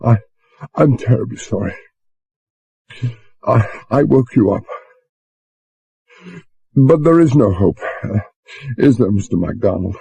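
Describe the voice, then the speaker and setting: Man voicing a young woman, tearful, frightened, breathless, higher voice, dry sound. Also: animated, slow pace, gravelly, long pauses, warm tone, husky, no echo, heavily acted.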